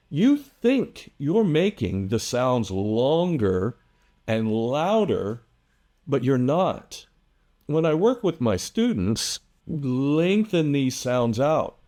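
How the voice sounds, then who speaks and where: Guy teaching english pronunciation, talks slow, stretches his example words way out. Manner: lively, animated pitch. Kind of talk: direct-to-camera lesson.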